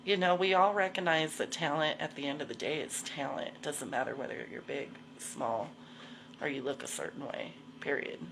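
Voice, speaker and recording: A woman's voice: sits low, normal speed, background noise. A woman in her forties talking casually to livestream viewers, candid and slangy, swearing freely and repeating herself for emphasis. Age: 40s. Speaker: host of a live video show.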